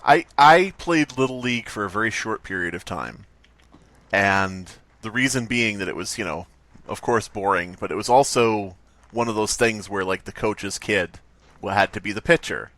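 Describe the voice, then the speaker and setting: A man's voice: lowish voice, moderate pace, a bit bright. A man hosting a podcast in casual comedic chat, with a wry manner and some casual swearing. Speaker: podcast host. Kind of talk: casual comedic chat, reading stories aloud.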